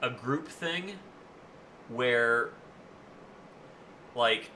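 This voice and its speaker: A young man with a booming voice, rambling through a casual story as a streamer, swearing freely with lots of fillers. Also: drawn-out words, bright, forceful tone, slight background noise, poor audio.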